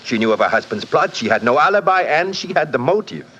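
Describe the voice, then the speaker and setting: An actor playing a blunt, impatient French police inspector in a 1940s radio drama, formal and insistent, with a French accent. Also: male voice, on the high side, very animated, quick pace, sharp tone. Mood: blunt, accusing. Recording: noisy muffled vintage radio broadcast.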